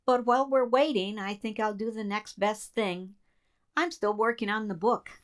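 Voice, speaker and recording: A woman's voice: medium pitch, steady tone, normal speed, lightly acted. A woman in a community radio play, playing an earnest assistant code breaker in a conversational way. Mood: musing. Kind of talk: community theater radio drama dialogue.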